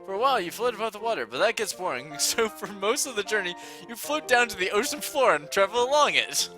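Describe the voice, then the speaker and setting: A man's voice: high voice, sing-song, fast, music in the background. A man reading a text adventure aloud to a friend in casual playthrough narration, playful and often laughing as he reads.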